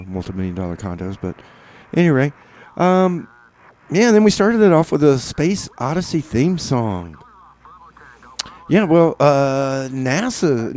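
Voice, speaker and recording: A man's voice: medium pitch, darkish tone, a little hiss or hum, rough recording. Rambling, hesitant male radio DJ. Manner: rambling and hesitant, many fillers and restarts. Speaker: radio DJ and show host. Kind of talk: casual on-air chat between songs. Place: community radio studio.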